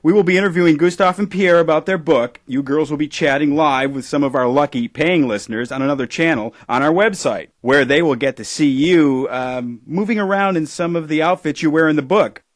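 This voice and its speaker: An actor playing a glib, self-satisfied radio interview host in a satirical audio drama. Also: male voice, on the high side, fairly quick, hardly any pauses, no echo, studio quality, close to an everyday voice. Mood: upbeat.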